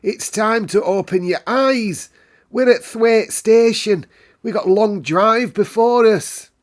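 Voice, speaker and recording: A man voicing a brisk, bossy Yorkshire housekeeper in a storybook, with an emphatic, no-nonsense manner. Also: sits high, pitched above the performer's own voice. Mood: brisk, rousing.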